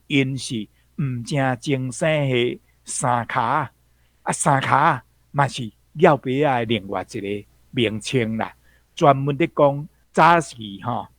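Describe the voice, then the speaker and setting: A man's voice: mid-range voice, a little slow, a bit bright, dry recording. A man with a Taiwanese accent giving explanatory commentary on a piece of literature.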